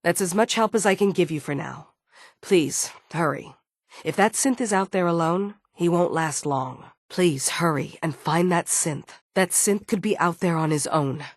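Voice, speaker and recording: A woman's voice: on the low side, measured pace, dry recording, clean studio sound. A woman voicing a stern, guarded android security chief in a video game, firm and businesslike. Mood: concerned. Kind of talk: scripted game dialogue.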